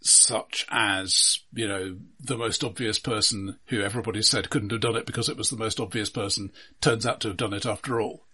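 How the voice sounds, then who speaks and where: A man's voice: on the low side, fairly even intonation, brisk, few pauses, sharp tone, slightly rough. A man co-hosting a film podcast, talking analytically and casually in careful, precise wording with a hesitant delivery. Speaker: film discussion podcast co-host.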